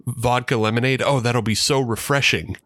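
A man's voice: mid-range voice, steady tone, normal speed, a bit bright, no room sound, studio quality. A man co-hosting a podcast, speaking with a congested voice. He rambles casually and laughs often. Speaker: podcast co-host. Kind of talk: casual chat.

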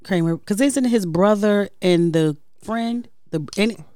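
A woman's voice: medium pitch, a little slow, warm tone, no echo, clean studio sound. A woman co-hosting a casual podcast with her husband, playful and sassy in manner. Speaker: podcast co-host. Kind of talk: casual chat.

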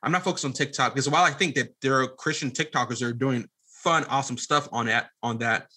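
Podcast guest, male, late twenties. Bright, punchy delivery, conversational and emphatic, with frequent restarts and repeated words. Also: medium pitch. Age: late 20s. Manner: halting, repeats phrases and restarts often, emphatic. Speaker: podcast guest, media app founder. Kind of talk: conversational interview answer, explaining a venture.

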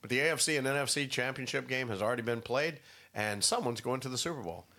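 A man hosting a casual Bible discussion podcast, a little nasal from a head cold, chatty and quick to laugh. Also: mid-range voice, sharp tone. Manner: chatty, jokey, laughs easily. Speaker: podcast host. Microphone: studio podcast mic.

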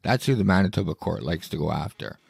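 A podcast host with a lowish, gravelly voice that is going hoarse from a cough. He reads out news items and reacts in a blunt, indignant way, swearing freely. The sound is close and dry, with no room around it. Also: animated, quick pace, a little mellow, dry recording. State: hoarse, losing his voice with a cough. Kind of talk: solo podcast commentary reading news aloud.

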